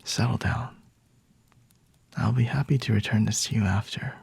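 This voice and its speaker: A man voicing a smug, flirty vampire lord in an intimate ASMR roleplay, breathy and husky, often whispering, with a teasing, condescending, refined manner. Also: fairly low pitch, steady tone, normal speed, a little mellow, no echo, heavily acted. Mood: mocking, amused.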